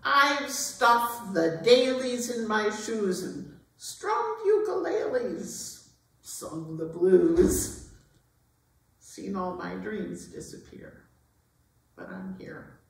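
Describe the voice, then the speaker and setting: A woman playing a resilient, wry, aging has-been burlesque star, reciting dramatically and deliberately on stage. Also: medium pitch, expressive, vocal fry, heavily acted. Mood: defiant, wistful. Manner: dramatic, deliberate, defiant delivery. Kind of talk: theatrical recitation of song lyrics, readers theatre. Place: performance hall at an arts center. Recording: very echoey hall, distant mic.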